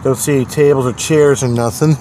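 Middle-voiced man in his forties, relaxed and chatty, talking to viewers over a phone mic outdoors while cars go by. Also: mid-range voice, a little fast, a little hiss or hum, poor audio. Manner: chatty, wry asides to the viewer. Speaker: vlogger exploring abandoned places. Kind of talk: casual walking commentary. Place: outdoors around an empty building, later in a car. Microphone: handheld phone camera.

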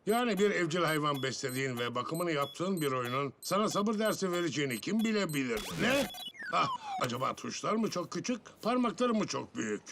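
A man voicing a kindly, patient, slightly clumsy older grandfather in a cartoon, gravelly and folksy. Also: on the high side, big pitch swings, a little fast. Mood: baffled. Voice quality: gravelly, creaky. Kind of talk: Turkish cartoon dub acting. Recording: very noisy background with clicks.

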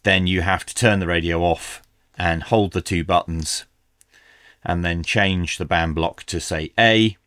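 A man's voice: deep voice, steady tone, a little slow, fairly bright tone, no room sound, clean studio sound. A middle-aged man giving a methodical, explanatory product review in an instructional style. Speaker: radio reviewer, presenter.

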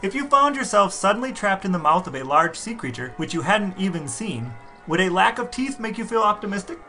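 A man trades dry, joking banter as a podcast co-host alongside his brother. His voice is somewhat high for a man. Faint music sits quietly behind him. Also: big pitch swings, a little fast. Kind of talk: casual chat.

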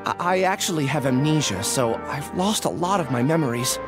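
A man's voice: on the high side, animated, fairly quick. An actor playing an earnest, apologetic young male scholar in a game. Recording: game audio with music.

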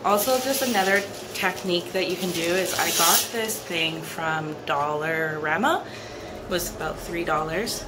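A woman's voice: on the low side, a little slow, very noisy. A woman chatting casually as she shows off her freshly cleaned bathroom at home, upbeat and repeating herself. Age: adult with a son. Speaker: cleaning vlogger. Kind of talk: casual show-and-tell vlog. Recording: music playing behind her voice.

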